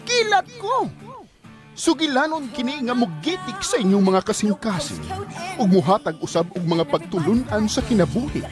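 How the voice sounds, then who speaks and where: A woman's voice: fairly high pitch, very animated, normal speed, music in the background. A woman with a Cebuano accent reading a dramatic scripted announcement as a radio drama announcer.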